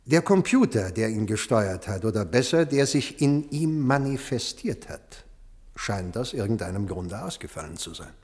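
An actor playing a fascinated, drily clinical doctor in a 1970s radio play, explaining deliberately and musing aloud. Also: male voice, lowish voice, sing-song, a little mellow. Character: male doctor, clinical, fascinated, drily matter-of-fact about a body. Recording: long reverberant hall in radio play.

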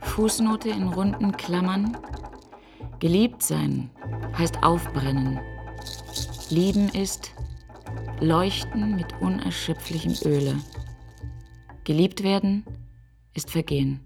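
A woman playing an intense, deliberate female figure in a literary radio drama. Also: fairly low pitch, gravelly, over music. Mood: solemn.

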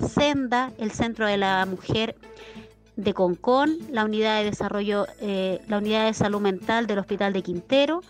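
A woman with a Chilean accent giving a prepared informational statement as a programme coordinator. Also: fairly high pitch. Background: faint music.

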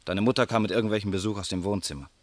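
An actor playing a brooding, proud young teacher and poet in a German radio drama, restrained, terse and grave. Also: male voice, fairly low pitch, very fast, no echo, close to an everyday voice. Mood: brooding, accusing.

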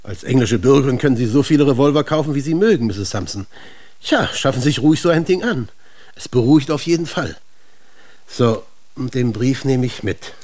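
An actor playing a shrewd, businesslike English police inspector in a German radio crime drama, probing and dryly ironic in manner. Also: male voice, medium pitch, expressive, a little fast, darkish tone, close to an everyday voice. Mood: reassuring, brisk.

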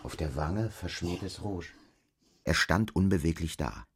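A man's voice: low voice, expressive, a little slow. A man reading scripted literary narration as the narrator of a German radio play.

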